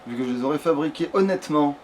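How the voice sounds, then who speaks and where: A man's voice: on the high side, quick pace. A man giving casual gaming commentary, muttering to himself hesitantly and sometimes swearing. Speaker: let's play gamer. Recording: steady background noise.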